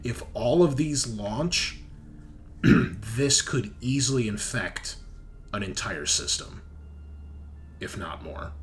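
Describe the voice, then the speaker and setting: A man with a slightly low voice acts as game master for a sci-fi horror tabletop game. He speaks slowly and haltingly, thinking aloud as he improvises the narration. There is faint noise behind him. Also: lively intonation.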